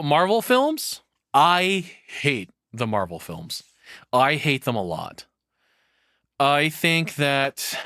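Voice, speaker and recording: A man's voice: medium pitch, fairly bright tone, dry recording, clean studio sound. A man giving casual reaction commentary as a streamer, rambling and opinionated, with some swearing.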